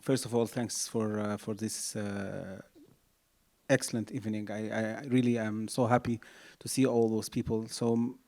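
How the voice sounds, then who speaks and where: A middle-aged man from Egypt, introducing himself on a panel with halting speech and many fillers. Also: lowish voice, flat delivery, mellow.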